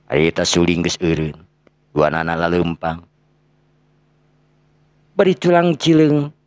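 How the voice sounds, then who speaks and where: A man's voice: extremely low pitch, no echo. A man with a Sundanese accent narrating a folktale dramatically, breaking phrases up for suspense. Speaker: storyteller.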